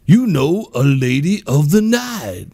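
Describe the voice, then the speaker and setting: Man acting a cocky, grouchy uncle, animated delivery. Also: fairly high pitch, slow, heavy background noise. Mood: grumpy. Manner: brash, grumbling, swaggering. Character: cocky, grouchy older street-tough beer-loving badass uncle. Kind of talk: comedy audio drama. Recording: loud music behind.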